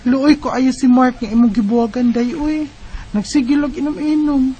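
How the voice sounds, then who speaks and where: An actress playing a meddling family confidante in a radio drama, with a Filipino Bisaya accent. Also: female voice, high-pitched, steady tone, normal speed, warm tone, soft background music, natural voice. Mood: concerned.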